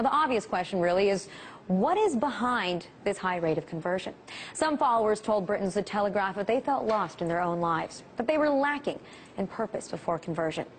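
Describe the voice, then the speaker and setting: Female news presenter, medium pitch, scripted show delivery, faint rumbling noise on the recording.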